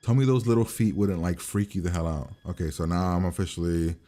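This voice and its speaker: A man with a low voice talks in loose, slangy commentary. He is blunt and swears constantly, reacting as he watches. Music plays quietly behind him. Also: steady tone, brisk. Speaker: host reacting to a TV episode. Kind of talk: casual profane reaction commentary.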